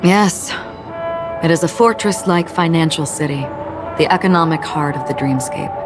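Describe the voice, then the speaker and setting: A quiet, melancholic swordswoman from a video game, voiced by a woman, with a strikingly low voice for a woman. She speaks in a measured, solemn way. Game music plays clearly behind her. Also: lightly acted. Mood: reflective. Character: calm, melancholic, forgetful female swordswoman, young adult. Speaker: wandering swordswoman. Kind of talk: game voice acting.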